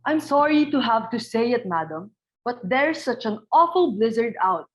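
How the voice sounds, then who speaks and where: A woman's voice: a little high, expressive, normal speed, close to an everyday voice. A woman playing a deferential, practical household servant with a polite manner, on a slightly dull microphone.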